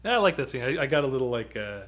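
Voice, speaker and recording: A man hosting a podcast and recapping a TV episode in rambling, halting talk with fillers and chuckles. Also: mid-range voice, sing-song, a bit bright. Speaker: podcast host. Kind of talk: casual chat recapping a TV episode.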